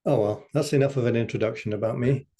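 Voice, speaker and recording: A man from England speaking calmly and drily as a guest on a video call. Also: mid-range voice, quick pace. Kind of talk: casual talk on a video call. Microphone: computer mic on a video call.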